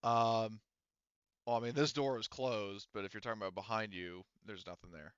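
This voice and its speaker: A man runs a tabletop roleplaying game in a crisp, bright voice. He explains rulings casually and conversationally, hedging as he goes. The sound is close and dry, though slightly dull. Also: on the low side, fairly even intonation, normal speed, no echo. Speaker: game master.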